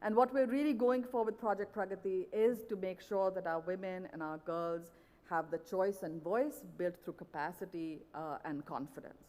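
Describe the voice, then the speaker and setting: A woman's voice: fairly low pitch, fairly even intonation, normal speed, a little mellow, big-room sound. A woman giving an earnest, persuasive talk on stage at a conference, often saying "you know". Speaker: conference speaker. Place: large event hall.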